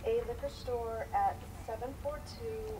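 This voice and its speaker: A careful, firm immigrant wife under interrogation played by a woman, her voice on the high side. She answers formally, as in a staged period drama. She is picked up from a distance with clear noise. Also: big pitch swings, a little slow. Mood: careful, guarded. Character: guarded, insistent immigrant wife under questioning in 1913. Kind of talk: dramatic reading of testimony. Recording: distant microphone in noisy live room.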